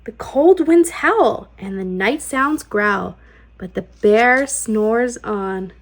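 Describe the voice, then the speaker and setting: A woman hosting a children's storytime, reading aloud in an expressive, sing-song way with deliberate rhythmic phrasing. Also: fairly high pitch. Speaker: children's storytime host and read-aloud reader. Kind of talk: expressive picture-book read-aloud.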